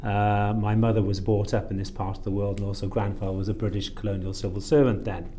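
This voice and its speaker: A man's voice: on the low side, flat delivery, fast, mellow, a little hiss or hum. A man in his sixties from Wales, telling stories conversationally as a podcast guest, with courteous, old-fashioned phrasing. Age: 60s. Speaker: podcast guest, shipping consultant. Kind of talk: conversational storytelling.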